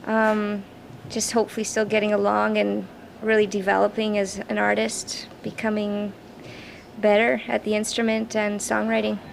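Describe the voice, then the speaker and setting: A young Canadian woman with a young-sounding voice, the bassist of a rock band, giving earnest, casual answers in an interview. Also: monotone, moderate pace, vocal fry. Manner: earnest, laughs easily. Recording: raw camcorder audio with background noise.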